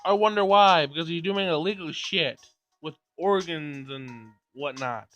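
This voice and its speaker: A man's voice: fairly high pitch, unhurried, fairly bright tone, no echo, dull audio, faint music behind. A man giving casual gameplay commentary and reading game dialogue aloud, with blunt, sarcastic asides.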